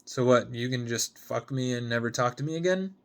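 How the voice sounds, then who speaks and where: A man's voice: mid-range voice, a little fast, lightly acted. A man voicing a hungover, sarcastic, guarded young woman in a dramatic script reading, blunt and foul-mouthed. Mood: dry, dismissive. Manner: sardonic, blunt, swearing.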